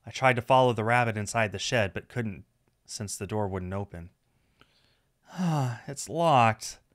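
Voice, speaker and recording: A man acts out a shy rabbit-eared student from a visual novel, anxious but curious. The voice is fairly low, with a hesitant, wry inner-monologue delivery. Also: a little slow, fairly bright tone, no room sound, clean studio sound.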